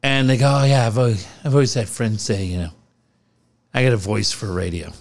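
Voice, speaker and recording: A middle-aged man co-hosting a casual podcast, telling profane, animated stories. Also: on the low side, fairly quick. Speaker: podcast co-host.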